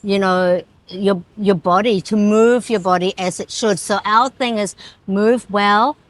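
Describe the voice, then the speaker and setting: A woman's voice: medium pitch, steady tone, a little slow, no echo, low-quality recording. Eager woman fitness coach being interviewed. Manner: warm, eager, agreeable, with many restarts and frequent yeahs. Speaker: fitness coach, studio owner. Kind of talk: casual interview. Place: small fitness studio.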